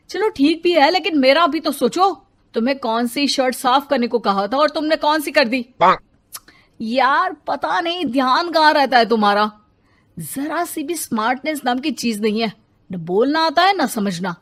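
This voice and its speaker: Woman playing a short-tempered husband, highish voice. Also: expressive, normal speed, a bit bright. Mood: irritated, belittling. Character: husband, adult man, affectionate but short-tempered.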